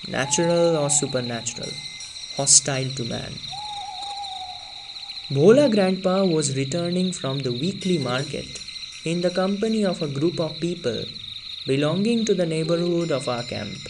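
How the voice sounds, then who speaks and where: A man reads a storybook tale aloud, unhurried and deliberate. His voice sits a little high and drops lower for suspense. Crickets and insects are clearly heard behind him. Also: noisy background. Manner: slow, deliberate and expressive, sinking low for tense moments. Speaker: story reader. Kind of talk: storybook read-aloud narration.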